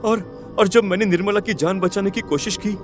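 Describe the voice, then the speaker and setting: Horror cartoon spirit: embittered village uncle, done by a man, lowered voice, sorrowful halting delivery. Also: faint music behind, natural voice. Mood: bitter sorrow. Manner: bitter, halting. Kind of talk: dramatised horror cartoon story.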